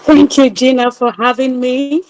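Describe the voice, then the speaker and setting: A woman, hoarse from a cold, giving a webinar talk from her phone. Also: sits high, fairly even intonation, a little slow, a little mellow, no echo, rough recording. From Nigeria. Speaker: guest speaker. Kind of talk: webinar presentation. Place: online video call.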